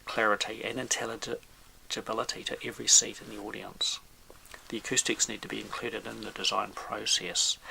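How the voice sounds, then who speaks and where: Man, very creaky low-pitched voice, halting measured delivery, technical explainer, faint background noise. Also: sharp tone, poor audio. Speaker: audio expert presenter. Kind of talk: informal technical explainer talk.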